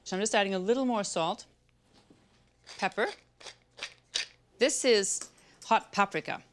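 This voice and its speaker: A woman's voice: mid-range voice, a little slow. A woman in her thirties hosting a cooking show, chatting casually as she cooks in a home kitchen. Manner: relaxed, chatty, talking while she cooks. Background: loud music bed and sizzling pan.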